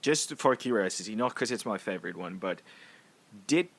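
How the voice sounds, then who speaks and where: Guy with a lowish voice, podcast co-host, casual movie chat. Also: expressive, moderate pace, a bit bright, husky. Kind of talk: casual panel chat about films.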